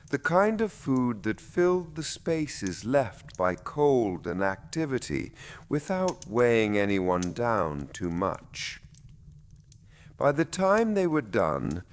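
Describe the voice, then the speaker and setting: A man with a fairly low voice reads a sleep story very slowly. His delivery is gentle and soothing, yet his pitch moves widely, rising at the start of phrases and settling softly as they close. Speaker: bedtime story narrator. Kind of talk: slow, soothing scripted bedtime story narration.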